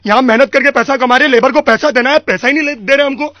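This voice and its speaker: Man acting high-voiced, badgering irate Indian vendor. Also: fast talker, dry recording. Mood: indignant. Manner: badgering, repetitive, accusatory. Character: irate pushy male vendor demanding overdue payment. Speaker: prank caller. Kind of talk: prank phone call. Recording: dull lo-fi radio recording.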